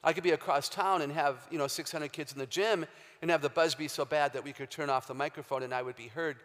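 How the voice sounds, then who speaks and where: A woman with a very low, deep voice giving an emphatic, humorous faith talk as a guest speaker, quizzing a live audience in a large hall. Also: fairly even intonation, fast talker. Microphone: handheld or stage microphone. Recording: long hall reverb, live stage talk.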